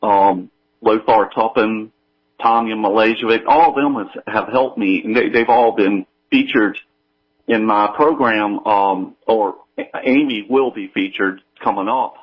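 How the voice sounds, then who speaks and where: Halting, low-voiced man on a dull line. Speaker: guest, audio drama creator. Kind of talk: casual interview answers. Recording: dull remote line with fluctuating volume.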